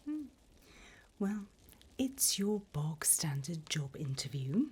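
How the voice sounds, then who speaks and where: Slow, breathy whispering demoness played by a woman. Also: low voice, very animated, a little mellow, full character voice. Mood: sly, businesslike. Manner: slow, teasing, drawn-out, mock-formal. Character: sly, teasing demon interviewer of hell, gleefully wicked. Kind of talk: whispered ASMR roleplay.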